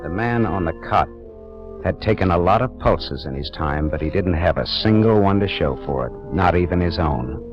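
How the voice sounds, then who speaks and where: An actor playing a wry, world-weary private detective in a 1940s radio noir drama, with clipped, dramatic narration. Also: male voice, low voice, animated, moderate pace. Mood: tense narrating. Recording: dull 1940s radio recording, music behind.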